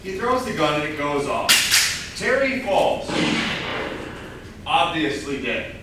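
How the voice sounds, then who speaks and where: Guy narrating stage directions, kinda high voice, far from the mic, noisy room. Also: sing-song, takes it slowly. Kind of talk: scripted narration at a staged reading. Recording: very echoey room, distant mic, clear noise.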